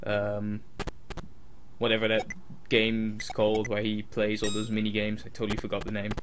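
Rambling Dutch-accented young gamer. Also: male voice, lowish voice, monotone, moderate pace, noisy background. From Netherlands. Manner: rambling, self-mocking, many fillers. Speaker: let's play host. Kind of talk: casual game commentary. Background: video game music.

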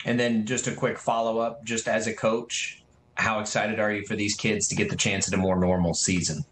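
Low-voiced man reporter asking questions. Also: flat delivery, normal speed, a bit bright, a little hiss or hum. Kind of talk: press question.

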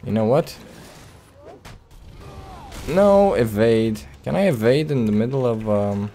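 A man's voice: medium pitch, lively intonation, takes it slowly, warm tone, soft background music. A man giving casual, playful video game commentary, joking and muttering reactions as he plays. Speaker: Let's Play host. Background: video game sounds.

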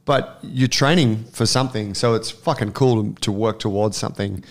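A man's voice: on the low side, moderate pace. A man co-hosting a casual podcast, blunt and wry, swearing casually. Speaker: podcast co-host.

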